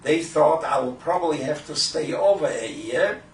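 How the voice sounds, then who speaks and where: An elderly man from Poland in his eighties, a Yiddish speaker, recalling his early life in a halting, deliberate way for an oral history. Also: a little high, animated. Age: 80s. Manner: halting, deliberate, frequent throat clearing. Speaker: Holocaust survivor giving testimony. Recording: roomy, distant microphone with faint noise.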